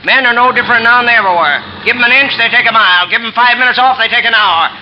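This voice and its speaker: An actor playing a smug, condescending steel mill supervisor. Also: male voice, very high-pitched, very fast. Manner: condescending, self-righteous, defensive. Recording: muffled old radio broadcast with hiss.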